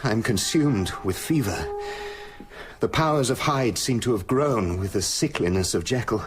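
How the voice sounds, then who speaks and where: An actor with a British accent playing a tormented scientist with a sinister other self, speaking formally and intensely in a radio play. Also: male voice, fairly low pitch, expressive, measured pace. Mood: weary, haunted. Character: tormented male scientist slipping into his menacing, sinister alter ego. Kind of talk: dramatic radio play acting, confessional monologue.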